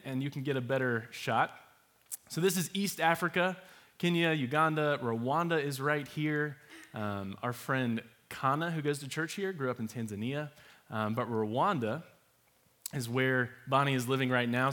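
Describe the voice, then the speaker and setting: Young male host, relaxed and chatty while presenting slides. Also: medium pitch, moderate pace. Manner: relaxed, many fillers, laughs easily.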